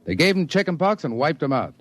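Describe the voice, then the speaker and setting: A man's voice: on the high side, very animated, fast talker. A man playing a dutiful, plain-spoken officer making urgent reports in a 1950s radio drama. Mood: sober urgency. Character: dutiful male officer reporting to his captain. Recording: old radio recording with clear noise.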